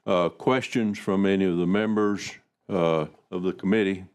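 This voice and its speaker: Deep-voiced committee chairman. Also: steady tone, moderate pace, a little mellow, smooth. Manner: polite, with fillers. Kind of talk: chairing a hearing.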